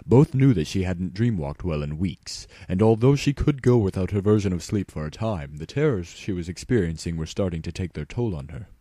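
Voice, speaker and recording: A man's voice: deep voice, lively intonation, fairly quick, few pauses, warm tone, no room sound. A man reading a scripted story aloud as a dramatic narrator. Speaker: fan fiction narrator. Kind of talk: dramatic scripted narration, spoofing an audiobook reader.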